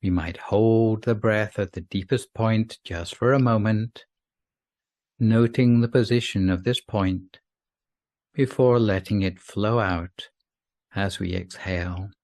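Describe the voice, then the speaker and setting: Slow, low-voiced man guiding sleep meditation. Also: steady tone, dry recording, studio quality. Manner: soothing, unhurried, gentle, with long pauses. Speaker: meditation guide. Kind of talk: scripted guided sleep meditation.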